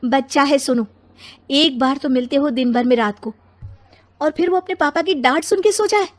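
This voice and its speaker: A woman voicing an exasperated, sharp-tongued young mother and wife in a story, scolding emphatically. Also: high-pitched, normal speed, voice pushed up, lightly acted. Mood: reproachful.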